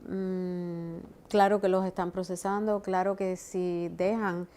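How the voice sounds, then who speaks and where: A woman's voice: lowish voice, level tone, slow pace, mellow. A woman immigration lawyer explaining legal options in an interview, often affirming with short agreements. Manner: explanatory, affirming with repeated "exacto". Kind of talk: expert interview.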